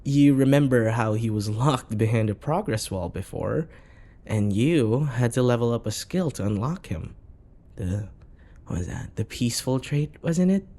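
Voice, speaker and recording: Casting: man to play possessive dating-sim lover, dark soft voice, slow coaxing delivery, sinister sweetness, whispery ASMR intimacy. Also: medium pitch, animated. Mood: explaining, coaxing. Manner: soft, coaxing endearments, breathy sighs and whispers. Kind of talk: intimate ASMR roleplay acting.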